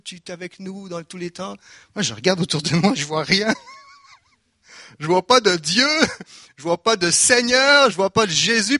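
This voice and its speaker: A man from Quebec with a Québécois French accent, preaching a lively sermon in a church, full of rhetorical questions and restarts. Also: sits high, no room sound, clean studio sound.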